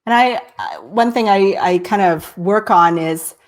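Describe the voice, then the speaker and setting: A woman's voice: medium pitch, unhurried. A woman from Texas hosting a live-streamed interview, a bit nasal, enthusiastic and full of "right?". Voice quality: slightly nasal. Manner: enthusiastic, many "right?" and "I love it". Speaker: host.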